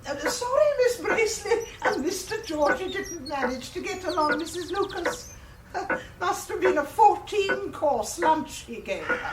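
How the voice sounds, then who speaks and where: High-pitched old English village gossip. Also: female voice, sing-song, unhurried, heavy background noise, exaggerated voice. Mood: chirpy. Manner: gossipy, chattering, insinuating. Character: nosy, excitable older village gossip. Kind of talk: radio comedy drama acting.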